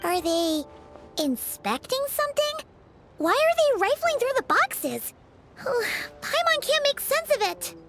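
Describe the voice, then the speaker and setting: A woman voicing a tiny, excitable floating female companion who fusses and chatters in a video game. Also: very high-pitched, slow pace, creaky, exaggerated voice. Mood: puzzled. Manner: fussy, talks about herself in third person. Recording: game audio with faint music behind.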